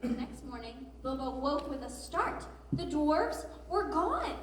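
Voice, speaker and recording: A woman's voice: high-pitched, big pitch swings, a little slow. A woman giving scripted story narration in a readers theatre. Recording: distant mic, echoey hall, noisy.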